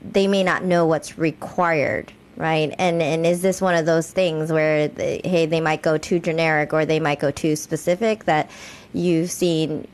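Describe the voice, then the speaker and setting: A woman co-hosting a podcast and casually putting questions to guests in a halting, conversational way. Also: sits low, fairly even intonation. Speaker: podcast co-host, interviewer.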